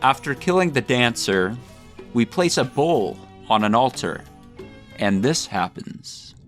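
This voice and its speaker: A male host delivers comedic scripted commentary at a middling pitch. His delivery is mock-grandiose and tongue-in-cheek, and light music plays behind him. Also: sing-song, slow pace, a bit bright. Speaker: video essay host.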